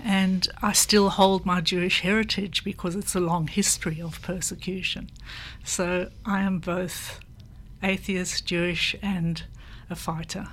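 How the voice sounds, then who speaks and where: Older woman, slow and low. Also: level tone, fairly bright tone. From South Africa. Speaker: panel guest. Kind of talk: personal recollection in conversation.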